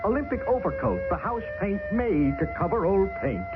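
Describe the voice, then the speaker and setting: A man's voice: high voice, very animated, moderate pace. A man reading a radio commercial in a chummy, jokey, salesy way. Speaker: commercial announcer. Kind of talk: 1970s radio ad read. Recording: tinny old radio ad with music.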